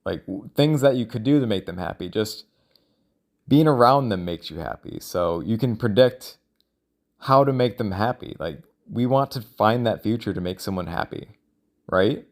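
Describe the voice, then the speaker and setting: Low-voiced man musing out loud. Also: lively intonation, creaky. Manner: musing, halting, self-questioning. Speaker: solo audio log creator. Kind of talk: casual rambling monologue, thinking out loud.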